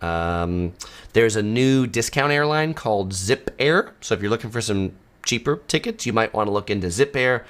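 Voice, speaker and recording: Relaxed low-voiced man answering questions. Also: moderate pace. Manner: relaxed, conversational, many ums and uhs. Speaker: travel channel host answering viewer questions. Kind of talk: casual live Q&A. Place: home studio.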